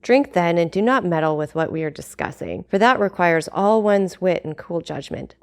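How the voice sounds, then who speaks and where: Danglars: woman doing a sly schemer, mocking and smooth, audiobook. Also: fairly low pitch, animated, moderate pace. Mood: scheming. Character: scheming, cunning man, smooth talker.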